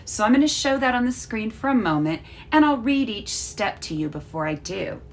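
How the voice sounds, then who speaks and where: A woman's voice: on the high side, big pitch swings, normal speed. A woman reading a children's storybook aloud as a teacher, carefully explaining as she goes.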